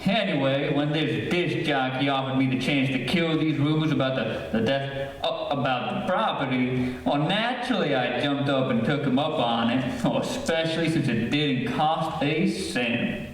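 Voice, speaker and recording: A man's voice: mid-range voice, moderate pace, close to an everyday voice. Man playing a folksy salesman, very echoey. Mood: breezy persuasion. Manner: breezy, persuasive, folksy. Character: folksy, eager male real estate salesman. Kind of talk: old-time radio drama acting. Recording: distant, cavernous echoey stage, faint noise.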